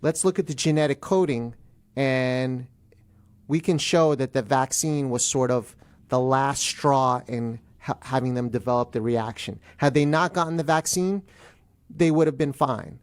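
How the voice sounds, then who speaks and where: A man's voice: medium pitch, unhurried, smooth. Male, American, mid-range voice. Radio guest attorney, explanatory, hesitant, corrects himself as he goes. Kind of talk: radio interview, explaining in plain terms.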